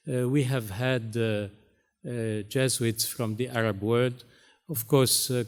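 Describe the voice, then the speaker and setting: A man with Arabic as his first language gives a keynote on a university stage. He speaks slowly and haltingly, with frequent "uh" pauses between short phrases. His voice sits at a middle pitch. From Syria, raised in Lebanon. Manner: halting, many pauses and fillers. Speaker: Jesuit priest, keynote speaker. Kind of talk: keynote speech.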